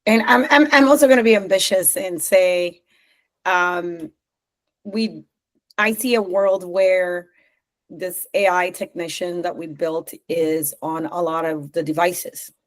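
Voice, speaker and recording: A woman from Zambia, a company co-founder, talking in a podcast interview in an animated way, laughing often and restarting her sentences. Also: lowish voice, takes it slowly.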